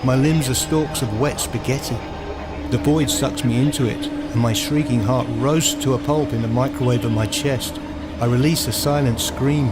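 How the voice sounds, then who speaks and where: A man reading dramatic, literary first-person narration for a radio play in a deliberate storytelling manner. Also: mid-range voice. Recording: music behind the voice. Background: music.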